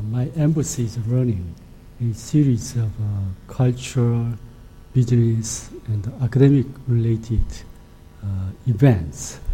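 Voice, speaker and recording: A middle-aged man from Korea with a Korean accent, an ambassador giving a formal lecture in a halting, soft-spoken way. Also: medium pitch, noisy background. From South Korea. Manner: halting, with frequent hesitations.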